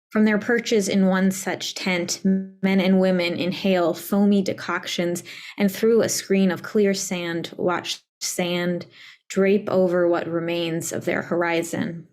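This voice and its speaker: A woman reads her poetry in a low, even voice. She takes it very slowly and deliberately, with little rise or fall in her delivery. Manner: measured, deliberate recital. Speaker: poet reading own work. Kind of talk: poetry reading.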